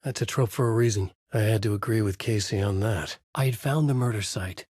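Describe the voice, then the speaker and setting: A haunted male horror novelist in a video game. His voice is low and husky, recorded very dry and close. He delivers brooding noir lines in grave, literary fragments, tormented and desperate. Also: fairly quick, a little mellow, no room sound. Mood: haunted, uneasy.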